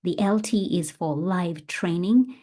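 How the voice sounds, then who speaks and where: A woman's voice: lowish voice, slow, dark tone. A woman hosting a podcast as a coach, presenting to her listeners.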